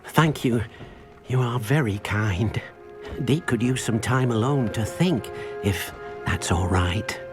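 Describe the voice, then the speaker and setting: An actor playing a timid, kindly house-elf servant in a video game, hesitant and deferential, speaking of himself in the third person. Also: male voice, mid-range voice, slow, lightly acted. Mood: sorrowful. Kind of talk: video game character dialogue. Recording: music behind the voice.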